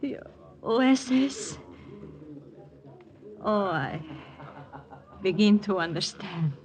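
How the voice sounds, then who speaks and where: An actress playing a proud, anguished young French aristocrat with a French accent in a 1940s radio drama, imperious and emotional. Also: female voice, mid-range voice, lively intonation, very slow, a little mellow. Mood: stunned, icy. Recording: noisy old radio recording.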